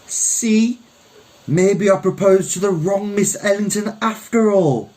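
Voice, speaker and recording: A man's voice: high voice, slow, darkish tone, pitched above the performer's own voice. Man voicing a lifted, teasing young Jamaican woman. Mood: playful, teasing. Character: Olivia, teasing young Jamaican trainee nurse, engaged woman.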